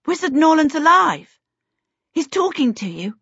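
A woman doing an excitable young boy. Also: on the high side, takes it slowly, slightly rough, no room sound, voice pushed up, full character voice. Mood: eager. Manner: blurting, exclaiming. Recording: dull, dry sound.

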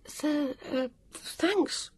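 A narrator voicing Vivian's part in an audiobook, an anxious, excitable young girl who speaks in a breathless, halting way. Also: medium pitch, slightly rough, no room sound. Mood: faint, shaken.

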